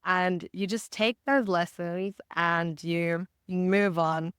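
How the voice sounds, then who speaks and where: A woman from Botswana giving reflective, articulate and positive interview answers in a measured way as a podcast guest. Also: fairly low pitch, fairly even intonation, a bit bright, smooth, no echo, clean studio sound. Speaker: podcast guest, marketing director.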